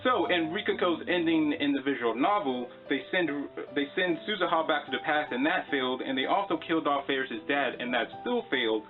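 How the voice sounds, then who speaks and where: A man's voice: a little high, animated, a little fast, few pauses, narrow, tinny audio, faint music behind. A man hosting a video, giving an informal, conversational explainer commentary and repeating phrases for emphasis. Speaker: video host.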